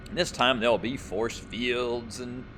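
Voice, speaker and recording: A man's voice: mid-range voice, fairly even intonation, measured pace, noisy background. A man giving casual, rambling commentary as he plays a video game, thinking aloud. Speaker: gaming video host.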